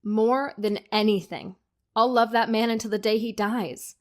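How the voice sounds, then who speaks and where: An actress playing a stressed but affectionate young bride with a wry, bossy, bantering manner in an audio drama. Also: female voice, a little high, fairly even intonation, a little slow, a little mellow, lightly acted.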